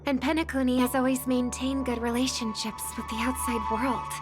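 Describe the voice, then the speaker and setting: A woman playing a gentle, polite young singer in a video game, speaking in a soft, measured, thoughtful way. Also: sits high, little pitch movement, natural voice. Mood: pensive, hushed. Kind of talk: video game dub acting. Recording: loud music under the voice.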